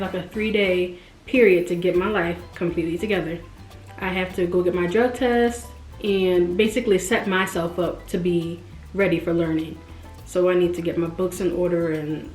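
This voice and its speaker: A woman in her twenties chatting casually and expressively to her viewers, jumping up in pitch when she stresses a point. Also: a little mellow. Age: 20s. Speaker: vlogger. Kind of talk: casual direct-to-camera chat. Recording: roomy echo with faint music.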